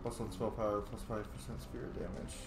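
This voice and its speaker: A man's voice: fairly low pitch, steady tone, a little fast, music in the background. A man giving casual, informal commentary on a game playthrough, explaining lore and reacting as he goes. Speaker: gaming host, role-playing let's player. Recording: echoey room with faint noise.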